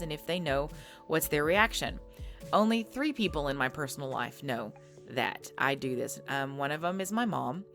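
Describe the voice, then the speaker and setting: A woman's voice: low voice, fairly bright tone. A woman hosting a casual subscriber Q&A for her audio channel, reading out fan questions and answering in a chatty, self-conscious way with many fillers. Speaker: ASMR audio creator hosting a Q&A. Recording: faint background music.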